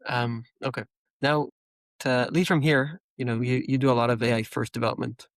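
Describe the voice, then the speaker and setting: A man's voice: mid-range voice, fairly even intonation, normal speed, dry recording. A man hosting a casual interview, moving the talk along with questions. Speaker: interviewer, host.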